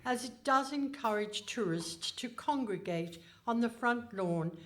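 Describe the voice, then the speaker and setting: A woman playing a haughty, pompous Iron Lady politician in a comic radio play, speaking in a stately, deliberate manner. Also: a little high, animated. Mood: pompous, self-important. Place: hall stage before a live audience.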